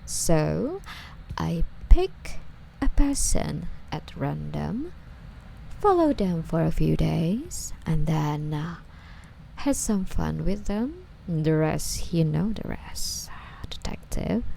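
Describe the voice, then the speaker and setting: Woman acting out a slow, mellow, obsessive yandere stalker. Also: low voice, very animated, a little hiss or hum, exaggerated voice, rough recording. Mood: smug confession. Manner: slow, coy, breathy delivery slipping into whispers. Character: obsessive yandere female stalker, sweetly menacing, coddling her captive. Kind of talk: ASMR roleplay.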